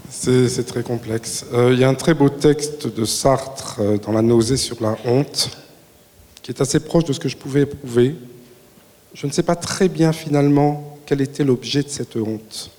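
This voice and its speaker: A man on a panel at a public talk, answering in a measured, thoughtful way. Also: medium pitch, darkish tone. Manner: measured, thoughtful, speaks in full sentences. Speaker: panel guest. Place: large hall with an audience. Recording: reverberant hall.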